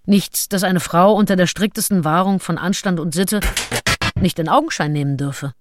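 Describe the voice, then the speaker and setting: An actress playing a self-assured, curious gentlewoman of the 1820s in a German radio play. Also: lowish voice, normal speed, noisy background, close to an everyday voice. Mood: self-assured, wry. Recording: studio radio play over loud music.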